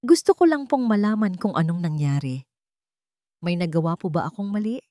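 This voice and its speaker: A woman's voice: lowish voice, animated, normal speed, mellow, dry recording, studio quality, close to an everyday voice. Woman voicing an earnest, pleading working man. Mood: anxious, pleading. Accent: Filipino. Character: earnest, confused, polite working-class man.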